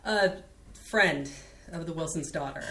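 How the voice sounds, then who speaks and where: A woman's voice: sits low, measured pace, sharp tone, slight background noise. A woman reading the part of a disdainful woman in a staged play reading, dry and pointed. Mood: cool. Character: cool, disdainful woman guarding her friend. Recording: roomy stage sound.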